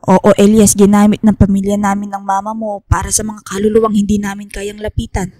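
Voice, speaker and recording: Lady playing a remorseful professor in a spooky radio drama, soft dark tone, solemn and deliberate, music behind. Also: mid-range voice, completely flat delivery, no room sound, studio quality, close to an everyday voice. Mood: pained, remorseful. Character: remorseful secretive female professor confessing family guilt. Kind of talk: Filipino radio horror drama acting.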